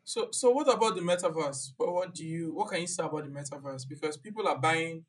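A woman hosting a casual chat, animated, laughing and restarting her sentences often. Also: deep voice, fast. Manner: animated, laughs, talks with many fillers and restarts. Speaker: podcast host.

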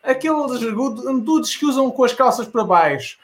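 A man from the United States with a high-pitched voice talks as a panelist on a comedy show. His delivery is casual, halting and rambling, sprinkled with English slang. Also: expressive. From Missouri, United States.

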